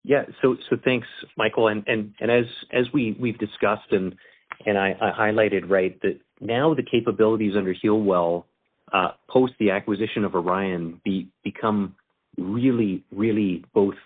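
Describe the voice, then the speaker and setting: A man's voice: lowish voice, normal speed. A man speaking as a company executive, answering on an earnings call, repeating words and checking in mid-sentence. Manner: repeats words, tags phrases with "right". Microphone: conference call line. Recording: thin phone-like conference call line.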